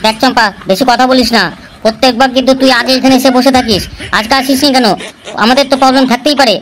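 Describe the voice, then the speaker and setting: Woman as young cartoon troublemaker boy, high voice, teasing, scheming, slangy banter, faint noise behind. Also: steady tone, rapid-fire, lightly acted. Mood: arguing. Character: cheeky young male village prankster, teasing and scheming. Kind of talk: comedy cartoon voice acting.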